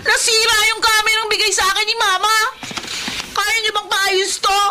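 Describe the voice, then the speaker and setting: Shrill, whiny Filipino schoolgirl. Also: flat delivery, moderate pace. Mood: angry, defiant. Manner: whiny, sharp, talks back to elders. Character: spoiled, indignant schoolgirl daughter, shrill and defiant. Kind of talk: Filipino radio drama acting. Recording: very noisy radio drama audio.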